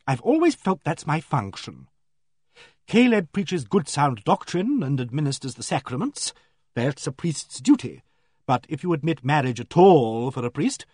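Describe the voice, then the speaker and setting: An eccentric storybook vicar's wife, acted out by a man, pitched well above his own voice and swooping about. She is abrupt and emphatic, forthright and absent-minded at once, with a husky edge. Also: a little mellow, dry recording, studio quality. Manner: abrupt, emphatic, earnest yet vague.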